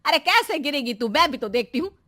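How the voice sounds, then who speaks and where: A woman's voice: high voice, very animated, brisk, pushed and bright, no echo, clean studio sound, heavily acted. A woman voicing a dramatic, fretting, bossy middle-aged mother-in-law in a cartoon story. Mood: scolding. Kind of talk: cartoon moral-story voice acting.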